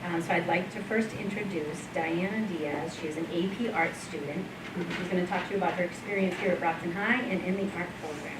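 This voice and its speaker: A woman with a fairly low voice introduces student speakers plainly and matter-of-factly. She sounds far off the mic, and the room adds a heavy echo and a layer of noise. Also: fairly quick. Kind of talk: formal introductions at a school event. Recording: echoey room, voice from far off, noisy.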